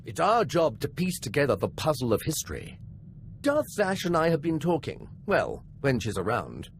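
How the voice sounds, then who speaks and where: An eager, bookish archaeologist, fussy and chatty. His highish voice leaps about with scholarly enthusiasm in a video-game scene. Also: wildly swooping pitch, normal speed, a little hiss or hum. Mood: eager curiosity.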